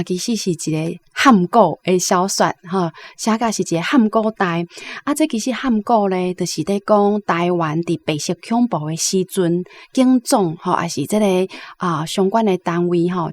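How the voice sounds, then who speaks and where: A woman with a Taiwanese accent giving explanatory literary commentary as a guest, often adding little tag particles. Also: mid-range voice.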